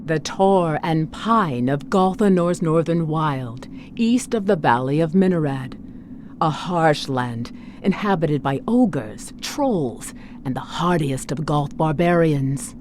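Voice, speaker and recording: A narrator reading a scripted fantasy game story in a dramatic, deliberate storytelling manner. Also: medium pitch, expressive, darkish tone, slight background noise.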